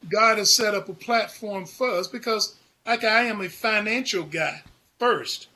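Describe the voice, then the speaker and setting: A middle-aged man speaking earnestly as an interview guest, halting and repeating words as he goes. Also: high voice, pushed and bright. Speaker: foundation founder, guest.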